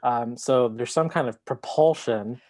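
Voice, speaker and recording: Man, slow pace, dry sound, hesitant, casual book club talk. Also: medium pitch, a little mellow, dry recording. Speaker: book club podcast panelist.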